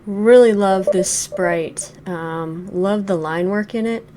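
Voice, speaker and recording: A woman's voice: mid-range voice, takes it slowly, mellow, a little hiss or hum. A woman in her forties giving thoughtful, hesitant let's play commentary with many fillers while reviewing a game. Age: 40s.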